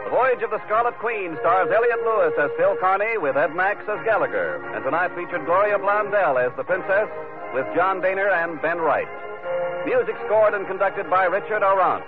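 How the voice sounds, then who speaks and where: Tinny, animated vintage radio announcer, a man. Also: sits high. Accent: American. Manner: formal, polished, measured phrasing. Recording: tinny vintage radio broadcast, music behind.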